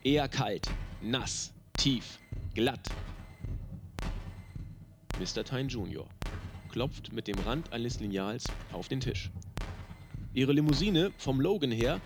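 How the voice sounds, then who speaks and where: A man's voice: medium pitch, expressive, slow, pauses often. A man reading a novel aloud, voicing the characters in dry, clipped narration. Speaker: audiobook reader. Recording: music loud underneath.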